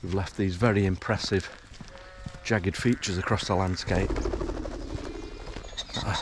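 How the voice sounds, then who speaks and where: A man narrating a morning countryside walk in a relaxed, hesitant way, full of fillers, his voice a little croaky from just waking up. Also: deep voice, measured pace, heavy background noise. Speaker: hiking vlogger. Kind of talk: casual walking commentary. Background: rural outdoor sounds, animals.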